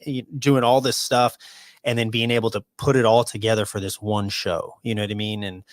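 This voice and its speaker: Man in his forties, lowish voice, laid-back podcast chat, dry close mic. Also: little pitch movement, fairly quick, no room sound. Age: 40s. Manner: laid-back, says "man" and "dude" a lot. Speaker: podcast guest, awards show founder.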